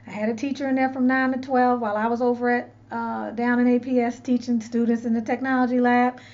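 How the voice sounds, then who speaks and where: A woman's voice: on the high side, little pitch movement, a little fast, darkish tone, highs cut off. A woman host telling a personal story in a chatty, earnest way, repeating words as she searches for them. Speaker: loc stylist and channel host. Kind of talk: casual storytelling to camera.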